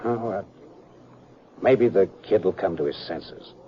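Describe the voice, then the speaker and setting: A man's voice: sits low, measured pace, breathy edge. An American man playing a weary, troubled sheriff in a radio western, plain-spoken and folksy. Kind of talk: 1950s radio western acting. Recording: muffled 1950s radio recording, faint noise.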